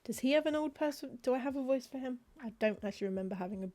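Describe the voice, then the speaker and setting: Chatty woman, soft mellow voice. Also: sits high, lively intonation, very fast. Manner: chatty, wry, muttering lists of titles to herself. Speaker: streamer playing a cozy game. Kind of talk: casual gameplay commentary, reading game text aloud.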